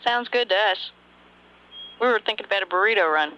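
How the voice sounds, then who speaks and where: A woman capsule communicator at mission control, giving crisp procedural instructions over a lo-fi radio link. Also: mid-range voice, brisk. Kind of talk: air-to-ground radio communication. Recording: dull lo-fi radio with faint hiss.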